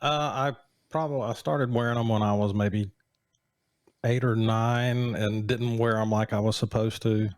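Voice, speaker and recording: A man's voice: lowish voice, fairly even intonation, normal speed, a little mellow, no echo. A man in his late forties co-hosting a casual chat, teasing with dry jokes and banter. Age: 40s. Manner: wry, joking banter. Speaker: podcast co-host.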